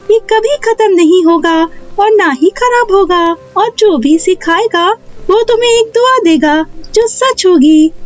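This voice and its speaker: A kind, regal fairy queen played by a woman speaks very high and gently, giving instructions like in a children's storybook. The sound is a little dull, with music behind. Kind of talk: children's moral story voice acting.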